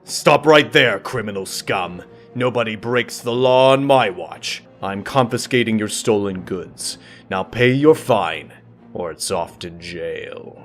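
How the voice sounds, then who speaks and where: A man's voice: lowish voice, slow pace, faint music behind. A man voicing a stern, zealous armored legionnaire guard with declamatory pronouncements in a comic fantasy story. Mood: stern, threatening.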